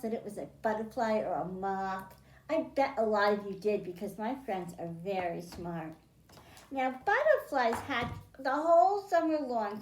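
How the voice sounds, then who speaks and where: Higher-voiced woman leading children's storytime, roomy sound. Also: a little mellow. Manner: simple explanations addressed to children, with questions to them. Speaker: children's librarian and storytime host. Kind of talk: children's storytime read-aloud and science lesson. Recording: roomy, echoing indoor sound.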